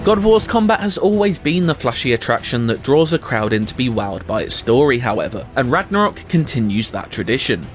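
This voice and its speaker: A man reading a scripted video game review as a voice-over, in a measured, articulate and wordy way. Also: mid-range voice.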